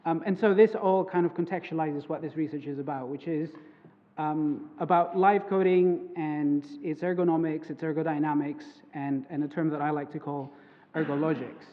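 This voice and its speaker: A young man, a native Greek speaker, presents his research at a conference, hesitant and full of fillers and restarts. His voice sits fairly high for a man. The sound is thin and phone-like, with a long reverb trailing off the walls of a lecture hall. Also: steady tone, moderate pace. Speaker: conference speaker, PhD student. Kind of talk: academic conference talk.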